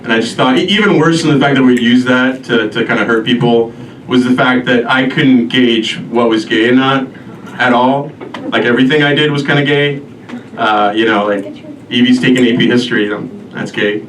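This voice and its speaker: Male stand-up comic, deadpan and self-deprecating, mid pitch, recorded at a distance in a noisy live room. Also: normal speed. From Albania. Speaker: stand-up comedian. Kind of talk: stand-up comedy set. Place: live comedy show before an audience. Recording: roomy echo, distant mic, noisy live venue.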